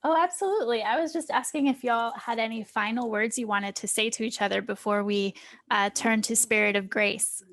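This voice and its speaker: A woman's voice: fairly high pitch, a little fast, a bit bright. A woman informally hosting a panel event as its moderator.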